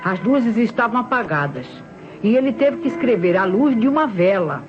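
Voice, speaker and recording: A woman's voice: fairly high pitch, expressive, moderate pace, natural voice. Obliging Brazilian landlady played by a woman, muffled sound. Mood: earnest, insistent. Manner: emphatic, deferential, chatty. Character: obliging, chatty female boarding-house witness, certain of herself. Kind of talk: old radio drama acting. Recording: muffled vintage radio recording, music behind.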